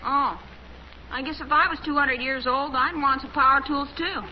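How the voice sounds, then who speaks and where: A man's voice: very high voice, fairly even intonation, normal speed. A young American male playing an earnest, wisecracking boy in a 1950s radio sitcom, matter-of-fact in delivery. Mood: curious. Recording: noisy, dull 1950s radio broadcast.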